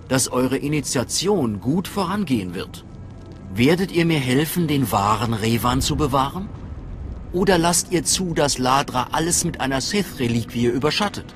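An actor voicing a calm, sceptical scholarly steward of a cult in a video game, earnest and persuasive. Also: male voice, medium pitch, moderate pace, natural voice. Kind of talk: dramatic video game dialogue. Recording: faint music behind voice.

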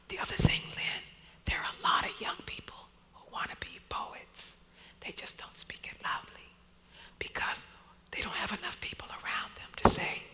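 A woman poet telling personal stories as a guest speaker, in a hushed, whispering delivery. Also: poor audio. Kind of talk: personal storytelling at a live talk.

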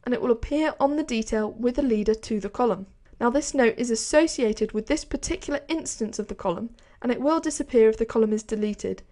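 Higher-pitched woman narrating a tutorial. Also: darkish tone. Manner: instructional, methodical step-by-step explaining. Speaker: software course instructor. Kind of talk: scripted tutorial narration over screen recording.

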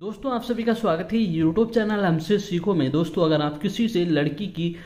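A man's voice: high-pitched, moderate pace, darkish tone, soft background music. A man hosting an instructional app walkthrough, explaining each step repetitively. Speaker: tutorial host.

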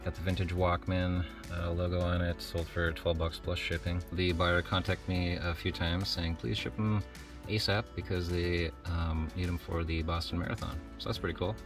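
A man's voice: low voice, level tone, moderate pace. A man narrating a reselling vlog in a casual, rambling, matter-of-fact way with plenty of filler words. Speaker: online reseller hosting a shipping vlog. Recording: music behind the voice. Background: background music.

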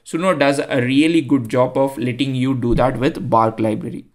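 A man's voice: mid-range voice, fairly even intonation, moderate pace. A young man with an Indian accent, explaining a coding tutorial in a chatty way with many fillers and restarts. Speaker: tutorial host. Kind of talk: screen-recorded coding tutorial.

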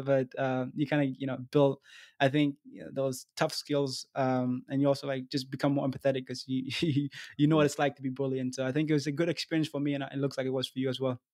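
A man's voice: medium pitch, dry recording, studio quality. A young man from Ethiopia hosting a casual interview podcast, laid-back and easygoing with plenty of slang. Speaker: podcast host. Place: small room indoors. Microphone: studio mic on desk.

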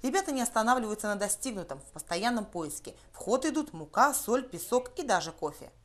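A woman's voice: on the high side, big pitch swings, a little fast. A young woman, narrating a scripted news report as a reporter.

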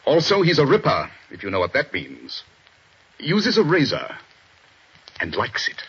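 An actor playing a stern middle-aged police chief inspector, in a 1940s radio drama, husky and clipped. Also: male voice, mid-range voice, huge pitch swings, a little slow, a bit bright, heavily acted. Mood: grave. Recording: dull old radio broadcast.

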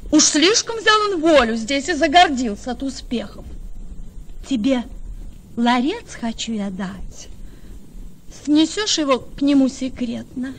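High-voiced, vengeful young noblewoman. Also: expressive, rough recording. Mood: conspiratorial. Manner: declamatory, imperious, measured delivery. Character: proud, scheming, vengeful young Spanish noblewoman. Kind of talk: theatrical radio drama in verse.

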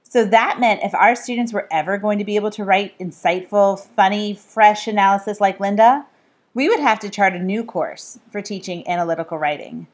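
A woman's voice: mid-range voice, moderate pace. A woman who is an author, reading her own book aloud in a scripted style. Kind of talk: scripted read-aloud narration.

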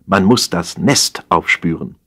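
A man's voice: low voice, unhurried, a bit bright, breathy edge, heavily acted. A man voicing a practical, resigned and exasperated wife in a dry, declarative manner, in an audiobook satire. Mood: decisive.

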